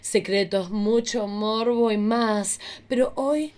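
A woman's voice: fairly high pitch, a little mellow. Playful Argentine woman hosting, theatrical delivery. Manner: theatrical, playful, drawn-out emphasis. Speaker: podcast host. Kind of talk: casual, bawdy comic chat.

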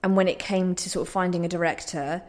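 Young woman playwright, slightly low voice. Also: steady tone, fast talker, a little mellow. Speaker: playwright, interview guest. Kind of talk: casual interview chat.

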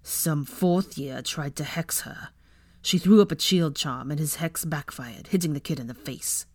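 A woman's voice: deep voice, a little slow, darkish tone, slightly rough, no echo, studio quality. A woman voicing a cool, protective teenage wizard boy with a curt, restrained delivery in an audiobook. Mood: grim, restrained. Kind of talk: audiobook character voice.

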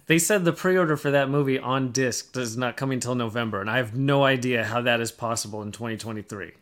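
Guy co-hosting a podcast, just chatting with the others, medium pitched voice. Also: brisk, fairly bright tone. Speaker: podcast co-host. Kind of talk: casual panel discussion.

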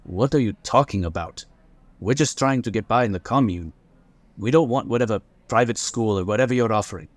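A man's voice: fairly low pitch, a little fast, no echo, lightly acted. A man playing a blunt, sarcastic, hostile father in a sci-fi audio drama. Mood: suspicious, dismissive. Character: defiant, gruff father in a space commune.

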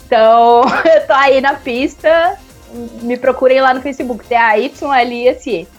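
A woman's voice: high-pitched, measured pace, lo-fi sound, soft background music. A woman podcast guest with a cheeky, crude joking manner. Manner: cheeky, crude jokes, laughs. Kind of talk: casual chat.

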